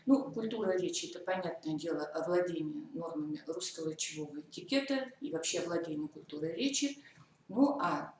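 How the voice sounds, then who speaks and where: A woman from the Komi Republic giving a methodical teacher-training lecture, walking through points step by step with hesitant fillers. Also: on the low side. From Komi Republic, Russia. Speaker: lecturer on school curriculum. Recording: echoey hall from far off, faint noise.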